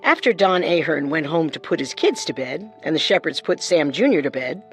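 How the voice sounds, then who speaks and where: A woman's voice: deep voice, expressive, normal speed. A woman co-hosting a scripted true-crime show as narrator, with music underneath. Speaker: co-host and narrator.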